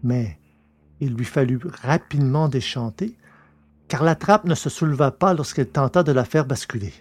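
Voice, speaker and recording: A man narrating a science-fiction story from a script, in a measured, literary reading manner. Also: a little high, a little mellow.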